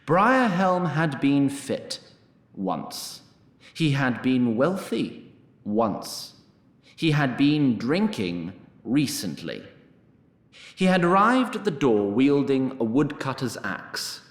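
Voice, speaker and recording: Male fantasy narrator: deliberate, dryly humorous, mid-range voice with animated intonation. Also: medium pitch, reverberant. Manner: wry, deliberate. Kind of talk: scripted storybook narration of a fantasy audio drama.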